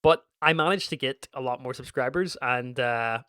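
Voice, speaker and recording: Man, 30s, Northern Irish accent with slight American twang, casual gaming commentary, meandering, middle pitch, dry close mic. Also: normal speed, bright, forceful tone, clean tone, no echo. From Northern Ireland. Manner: meandering and reminiscent, frequent restarts. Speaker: gaming YouTuber.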